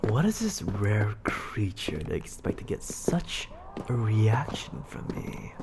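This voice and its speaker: A performer acting a suave, haughty demon lord in an ASMR roleplay, speaking theatrically and often dropping into a breathy whisper, close to the mic. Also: medium pitch, big pitch swings, slow. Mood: intrigued.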